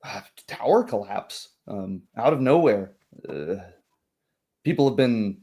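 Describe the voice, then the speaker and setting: A man voicing a friendly, greying small-town deputy who talks in a halting, modest, folksy way. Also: on the low side, warm tone.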